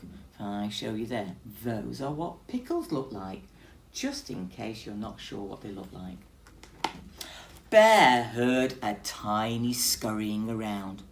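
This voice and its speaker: A woman's voice: rumbling low, slight background noise. A woman teacher reading a story aloud expressively, speaking directly to young children.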